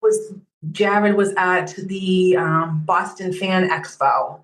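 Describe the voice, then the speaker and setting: A woman's voice: on the low side, fairly even intonation, slow pace, echoey room, low-quality recording. A woman guest on a podcast, casually sharing her own experiences as a fan. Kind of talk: casual personal storytelling.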